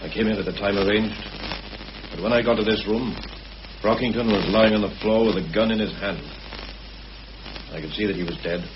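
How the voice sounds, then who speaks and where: A man's voice: on the low side, fairly even intonation, brisk, long pauses, natural voice. An actor playing a bitter, candid male suspect in a radio murder mystery, telling his account bluntly. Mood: bitter, frank. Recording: muffled old radio recording with clear hiss.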